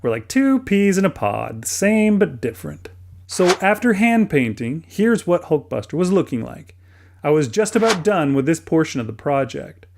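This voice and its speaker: A man's voice: a little high, sing-song, moderate pace, gravelly, noisy background. A middle-aged American man narrates a how-to hobby video from a script, conversationally and with light self-deprecation. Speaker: toy hobbyist and video host.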